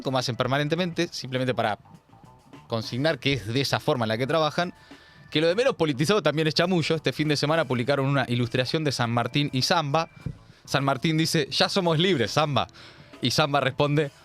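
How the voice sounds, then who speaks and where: A man's voice: a little high, animated, fairly quick, a bit bright, soft background music. A man with a Rioplatense Argentine accent hosting a political commentary show, talking in an emphatic, sardonic, slangy way. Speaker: host.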